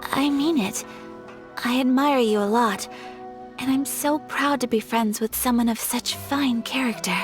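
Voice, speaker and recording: A woman voicing a gentle, refined young noblewoman who speaks formally and politely in a game cutscene. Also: fairly high pitch, unhurried, natural voice. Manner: formal, polite and soft-spoken. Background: game music.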